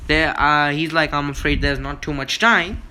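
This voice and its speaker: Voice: mid-range voice, moderate pace, sharp tone, clean tone. A gaming video host giving a casual walkthrough, explaining emphatically with repeats and frequent check-ins. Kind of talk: casual gameplay walkthrough commentary.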